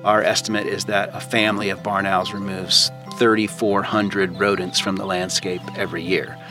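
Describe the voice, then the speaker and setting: A man's voice: deep voice, flat delivery, normal speed, over music. A man who works as a professor and field researcher, explaining things informally in an interview.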